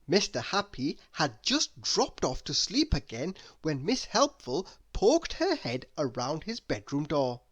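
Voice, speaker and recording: A woman narrates a children's storybook in a fairly low voice. She reads expressively and slowly, with lively rises and falls. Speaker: storybook reader.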